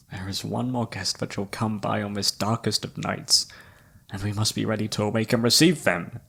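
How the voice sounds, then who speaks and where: A man playing a flirty, theatrical travelling palm reader in a fantasy ASMR roleplay, teasing and dramatic with a breathy, husky voice. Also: on the low side, a bit bright, heavily acted. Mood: smooth, welcoming.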